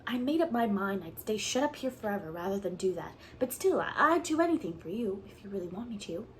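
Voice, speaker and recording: A woman's voice: mid-range voice, big pitch swings, fairly quick, a little hiss or hum, close to an everyday voice. A woman voicing a talkative, imaginative orphan girl who pleads in a theatrical, breathless way. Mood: earnest.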